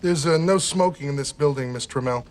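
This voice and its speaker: A man's voice: fairly high pitch, a little fast, lightly acted. An actor playing a formal police interrogator who hesitates yet presses his questions, in a film thriller. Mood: probing. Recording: noisy film clip, distant, clicks.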